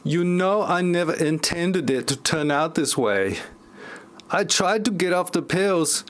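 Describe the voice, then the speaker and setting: Man playing a glum woman, high and slow. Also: lively intonation. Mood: resigned. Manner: stilted, robotic delivery. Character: glum, pill-dependent woman in a failing relationship. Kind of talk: amateur parody audio drama acting.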